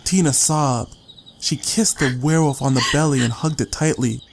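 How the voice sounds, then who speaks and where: A man narrates scripted fiction in a dramatic audiobook style. His voice sits at a middle pitch. A faint layer of noise runs behind the reading. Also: normal speed, gravelly.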